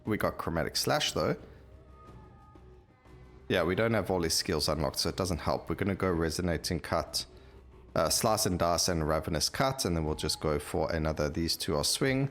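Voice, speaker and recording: A man's voice: low-pitched, normal speed, a bit bright, faint music behind. A man hosting a gameplay video, talking casually and rambling as he thinks aloud while he plays. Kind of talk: casual game commentary.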